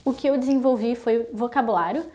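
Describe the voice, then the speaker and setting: A young woman from Brazil talking casually to her viewers, listing things in quick chatty runs. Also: a little high, a little mellow, muffled recording. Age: young adult. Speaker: vlogger. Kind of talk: casual talk to camera.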